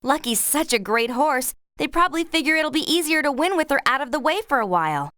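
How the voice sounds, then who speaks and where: A woman's voice: sits high, expressive, a little fast, sharp tone, no room sound, clean studio sound. A woman with an American accent playing an earnest, grateful young horse rider and loyal friend in a game, speaking expressively. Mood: worried. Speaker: voice actor. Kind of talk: scripted game character dialogue.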